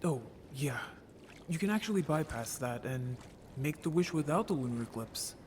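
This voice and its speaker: A man playing a shy, curious and anxious young merfolk in an audio roleplay drama, with a soft, hesitant delivery. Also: a little high, lively intonation, normal speed, a little hiss or hum, close to an everyday voice. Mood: unsure.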